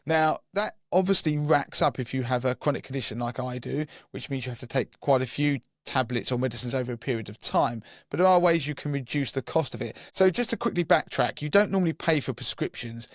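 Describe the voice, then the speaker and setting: A hoarse, croaky man, co-hosting a finance podcast and explaining things step by step in a chatty way. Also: medium pitch, no room sound, studio quality. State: hoarse, croaky from losing his voice. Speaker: podcast co-host, personal finance expert.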